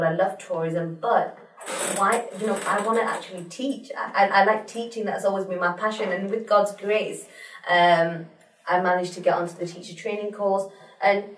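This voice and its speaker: Chatty young woman, roomy studio sound. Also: on the low side. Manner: fluent, chatty, peppered with you know. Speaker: guest, teacher. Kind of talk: studio interview. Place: small studio in front of a pink backdrop. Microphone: desk mic on a stand. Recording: roomy sound from a distant mic.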